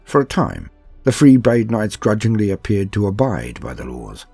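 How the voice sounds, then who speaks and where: A man reading a scripted story as narrator in a calm, steady, measured way, with a husky voice. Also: lowish voice, dry recording, faint music behind. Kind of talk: calm scripted audiobook-style narration of war lore.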